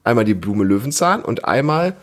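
A man's voice: medium pitch, big pitch swings, normal speed. A man hosting a casual family podcast, chatting in a patient, encouraging way and hesitating as he explains. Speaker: podcast host.

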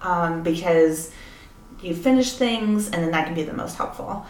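A woman's voice: fairly low pitch, moderate pace, a little mellow. A woman co-hosting a casual podcast as an editor, explaining things in a thoughtful way. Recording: echoey room with background noise.

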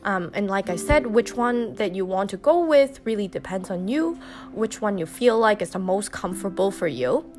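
A woman's voice: medium pitch, animated, normal speed, faint music behind. A woman hosting a podcast, explaining things in a casual, chatty way with many fillers and restarts. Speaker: podcast host.

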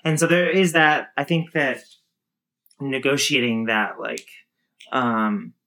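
A woman in her thirties with a low voice, talking casually and thoughtfully with many fillers and restarts. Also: fairly even intonation, slow pace, a bit bright. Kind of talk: casual conversation.

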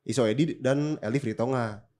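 A man's voice: medium pitch, normal speed, a little mellow. Congested Jakarta man chatting casually. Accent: Jakarta Indonesian. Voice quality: congested, slightly nasal from a recent cold. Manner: slangy, joking. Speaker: podcast co-host. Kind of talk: casual bantering chat.

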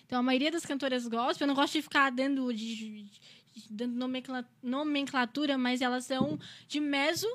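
A woman's voice: high voice, fairly even intonation, brisk, a bit bright. A woman in her twenties from Brazil, a singer chatting casually as an interview guest, often ending phrases with a questioning tag. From Criciúma, Santa Catarina, Brazil. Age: 20s.

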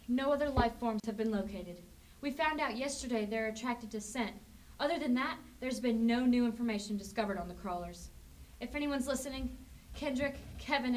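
A woman's voice: fairly high pitch, darkish tone, lightly acted. A young woman acting as an earnest, anxious younger sister in an amateur zombie apocalypse radio drama. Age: teenager or young adult. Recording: distant microphone, faint music.

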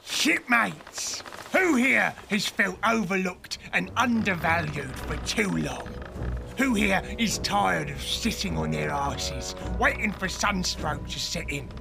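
A man playing a sinister mutinous dragon pirate in an audio drama, with a gravelly voice and slow, menacing delivery. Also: high-pitched, lively intonation, music in the background, full character voice. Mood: rousing.

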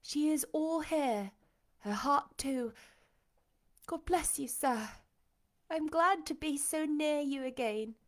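A woman voicing a tender, devoted young woman in an audiobook, soft-spoken, respectful and reassuring. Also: sits high, slow, long pauses, voice pushed up. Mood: tender, joyful. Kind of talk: audiobook character acting.

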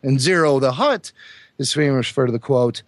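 A man co-hosting a podcast, hoarse from a cold, chatting enthusiastically about film trivia. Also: medium pitch, moderate pace, dry recording, clean studio sound. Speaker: podcast co-host. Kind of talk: casual chat.

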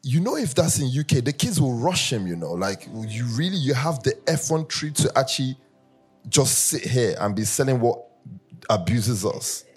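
Husky-voiced preacher with faint music. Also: male voice, medium pitch, moderate pace. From Nigeria. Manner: lively storytelling, builds to sing-song emphasis. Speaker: pastor. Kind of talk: sermon with humorous personal stories.

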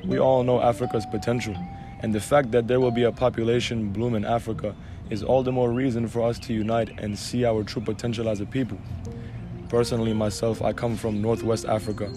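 A man's voice: mid-range voice, flat delivery, a little fast, over music. A man from Algeria, a guest, speaking in an assertive, emphatic, speech-like way. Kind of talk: conversational interview.

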